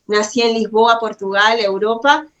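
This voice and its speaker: Woman, higher-pitched, guest testimony, courteous, devout, conversational, frequent tag questions. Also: measured pace, a bit bright, dull audio. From Venezuela. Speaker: guest, pastor's wife. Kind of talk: personal testimony.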